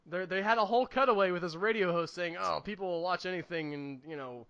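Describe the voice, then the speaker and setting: A man's voice: sits high, fast talker, pushed and bright, clean tone, highs cut off. A man co-hosting a podcast in casual chat, with a wry, opinionated and mildly sweary manner. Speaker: podcast co-host reviewing movies.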